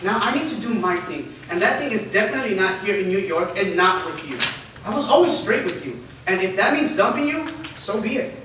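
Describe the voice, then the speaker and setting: A man's voice: high voice, big pitch swings, a little fast. A man playing a blunt, self-centred young boyfriend in a staged drama reading. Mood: blunt, dismissive. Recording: echoey room, distant mic, clear noise.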